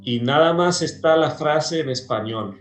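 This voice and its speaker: Man from Peru, pastor, slow unhurried talk, many fillers, roomy echo, faint music behind. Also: a little high. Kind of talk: casual interview chat.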